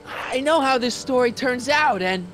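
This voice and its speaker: A man's voice: extremely high pitch, lively intonation, a little slow, fairly bright tone, soft background music, full character voice. An actor playing an earnest, worried teenage boy and loyal friend in a video game, casual and hesitant. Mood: worried, urgent. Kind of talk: animated video game acting.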